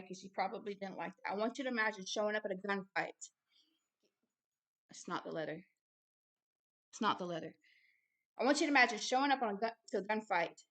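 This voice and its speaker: A woman's voice: medium pitch, a bit bright. A woman ministry host giving religious testimony and commentary in an emphatic, blunt manner, repeating phrases to drive them home. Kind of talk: impassioned religious testimony, exhortation and commentary on a book read aloud.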